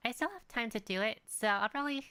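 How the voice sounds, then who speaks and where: A woman's voice: on the high side, bright, forceful tone, no room sound. A young woman streaming a game, chatting casually and hesitantly with many fillers. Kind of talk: casual live-stream chat. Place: home streaming setup.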